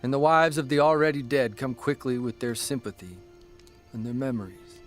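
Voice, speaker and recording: A man playing a reflective, plainspoken newspaper columnist, giving sombre, measured dramatic narration. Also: medium pitch, natural voice. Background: faint music.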